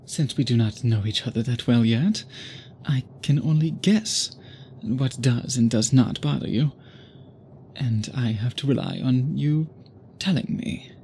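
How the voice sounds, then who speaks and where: Slow-speaking, courtly vampire suitor played by a man. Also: medium pitch, warm tone, slight background noise, natural voice. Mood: intense, reassuring. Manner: formal, flowery, measured speech with deliberate pauses. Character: obsessed, courtly gentleman vampire suitor, possessive yet restrained. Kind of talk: intimate scripted ASMR roleplay, addressed to listener.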